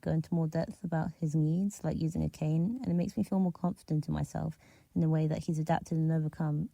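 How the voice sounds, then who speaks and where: Female contributor reading a personal essay; very mellow, soothing, with a creaky edge. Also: fairly low pitch, dry recording, low-quality recording. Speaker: listener contributor. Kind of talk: prepared personal essay read aloud.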